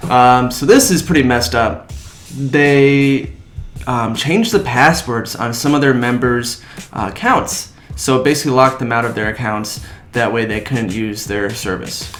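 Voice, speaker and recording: A man's voice: medium pitch, a little slow, a bit bright. Casting: informal young man for movie talk podcast, middle register, slightly echoey setup. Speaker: movie news podcast co-host. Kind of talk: casual chat. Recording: slightly echoey room, music underneath. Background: background music.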